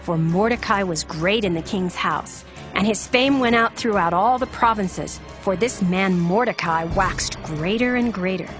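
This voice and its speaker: A woman narrates scripture in a solemn, measured storytelling manner. Her voice is of medium pitch, and music plays prominently behind the scripted reading. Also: a bit bright.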